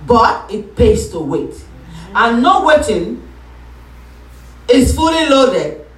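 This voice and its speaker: A woman pastor preaching a sermon, calling for responses and rising into shouts. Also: on the high side, big pitch swings, takes it slowly, far from the mic. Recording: echoey room, voice from off-mic.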